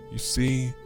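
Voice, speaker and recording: A man's voice: mid-range voice, gravelly. A man playing a murderous, charismatic male dragon in an audio drama, with a theatrical, mocking, condescending manner. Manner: theatrical, condescending, sighing drawl. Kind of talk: fan audio drama acting.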